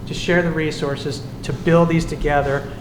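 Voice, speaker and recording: A man running for city council, giving a measured forum answer. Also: fairly high pitch, level tone. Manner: measured, policy-focused, slight restarts. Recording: echoey hall with noise.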